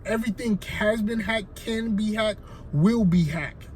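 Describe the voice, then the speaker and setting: A man's voice: high voice, measured pace, darkish tone, slight background noise. A man with a Haitian accent talking casually to his viewers, rambling and checking in with "right?" again and again. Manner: loose, rambling, frequent "right?" check-ins. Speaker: finance vlogger. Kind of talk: casual talk to camera.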